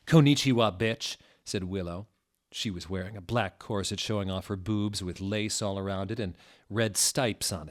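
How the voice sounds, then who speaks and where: A man narrating a comic dramatic reading of a story in a mock-serious manner, pronouncing every odd word deliberately. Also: low voice.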